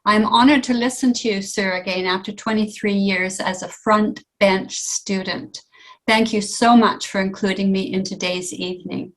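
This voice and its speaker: A woman hosting an online event informally, speaking haltingly with pauses between phrases. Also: medium pitch, fairly even intonation. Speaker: host of a book launch.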